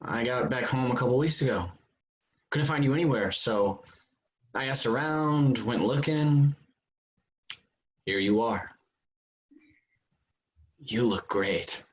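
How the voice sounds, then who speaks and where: An actor playing a controlling former soldier in a staged drama reading. He talks casually at a middle pitch, needling and pressing the listener, through slightly dull lo-fi audio. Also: slow pace, pauses often, natural voice. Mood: smooth, insinuating. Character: insinuating, controlling ex-soldier, abusive former partner. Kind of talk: staged play reading over video call.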